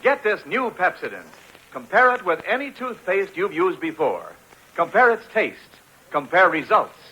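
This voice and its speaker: A man's voice: high voice, sing-song, measured pace, bright, forceful tone, breathy edge, poor audio. An American man reading a 1940s radio advertisement as an emphatic, salesman-like announcer on an old broadcast. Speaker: commercial announcer.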